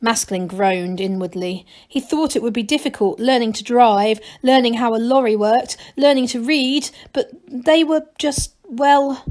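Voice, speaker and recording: Female storybook reader, teacherly, expressive narration, fairly high voice. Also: measured pace, few pauses. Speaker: teacher reading aloud.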